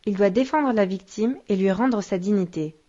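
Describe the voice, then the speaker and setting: A woman acts as a solemn religious teacher in a children's cartoon. She explains patiently in a mid-pitched voice. The soundtrack is slightly dull and muffled. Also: moderate pace, mellow, lightly acted. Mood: patient, instructive.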